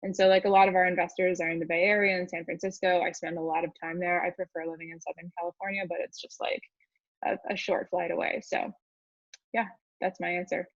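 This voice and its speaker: A woman's voice: lowish voice, little pitch movement, fast, no room sound. A woman speaking as a startup founder on an online panel, giving advice in a casual, informal way full of "like". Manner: informal, frequent "like" as filler. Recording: slightly dull, lo-fi call audio.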